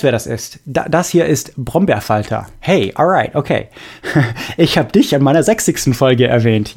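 A young man hosting a podcast, reading listener messages in a relaxed, halting way with many fillers. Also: a little high. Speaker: podcast host. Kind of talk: casual podcast chat reading listener mail.

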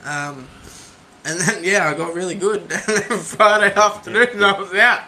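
A very bright, forceful voice with some fry in it, from a man in his twenties. He co-hosts casually and cheekily, always joking, over faint background noise. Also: high voice, moderate pace. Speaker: podcast co-host. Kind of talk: casual banter.

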